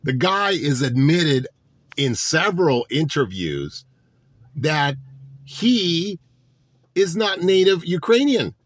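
A man's voice: on the high side, big pitch swings, slow, long pauses, dry recording. A man delivering a political monologue to his audience, sarcastic and full of rhetorical questions. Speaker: political commentator.

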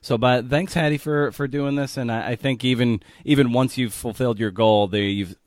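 A man's voice: mid-range voice, steady tone, normal speed, dry recording. A man hosting a podcast in a casual, loosely phrased conversational way, close to the microphone. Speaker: podcast host.

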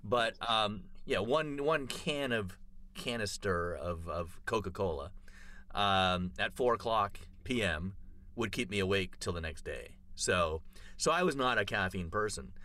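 Low bright-voiced man telling a rambling story. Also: expressive, a little slow. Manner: rambling storyteller, many fillers and restarts. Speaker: podcast co-host. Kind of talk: casual chat.

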